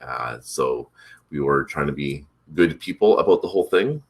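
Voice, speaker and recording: A man hosting a casual morning chat, rambling with many fillers, his voice congested and a bit rough from allergies. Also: rumbling low, lively intonation, normal speed. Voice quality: congested, slightly rough throat. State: stuffed up from allergies. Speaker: vlog host.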